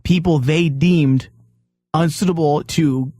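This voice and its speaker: Higher-voiced man in casual debate. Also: steady tone, slow pace, dark tone, no room sound, clean studio sound. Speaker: talk show co-host.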